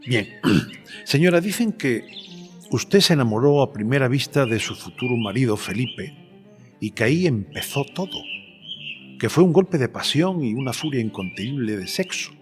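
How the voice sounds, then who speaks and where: A man's voice: mid-range voice, big pitch swings, moderate pace, faint music behind, natural voice. A man playing a polite but provocative journalist in a dramatised historical interview, hesitant as he probes. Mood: probing. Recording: long hall reverb.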